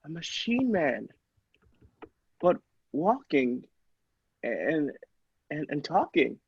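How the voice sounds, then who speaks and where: A man playing an earnest, easily amazed doctor in an old-style radio play, speaking with theatrical, halting wonder. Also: a little high, big pitch swings, husky, no room sound, heavily acted. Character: astonished, earnest male doctor visiting inventor. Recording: dull lo-fi, dry sound.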